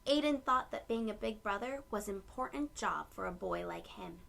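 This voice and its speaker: A woman reading a picture book aloud to young children, with gentle storytelling phrasing and asides to her listeners. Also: mid-range voice, lively intonation, moderate pace, vocal fry.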